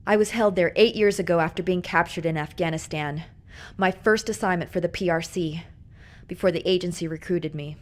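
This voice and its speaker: A woman's voice: on the low side, brisk, natural voice. An actress playing a tough, determined female agent in a game cutscene.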